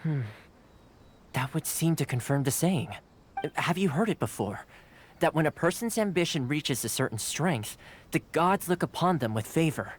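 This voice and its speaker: An actor playing a courteous, playful yet earnest young retainer in a video game, speaking in polite, formal phrases. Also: male voice, fairly high pitch, lively intonation, moderate pace, a little hiss or hum, natural voice. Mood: thoughtful. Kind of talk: scripted game cutscene dialogue. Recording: music behind the dialogue. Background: game music.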